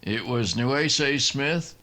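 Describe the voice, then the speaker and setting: A man as a slow-drawling Texan cowboy drifter. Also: mid-range voice, animated, pushed and bright, heavily acted. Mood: earnest warning. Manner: folksy, drawling. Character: easygoing, boastful Texan drifter just sobered up. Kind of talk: old-time radio western acting.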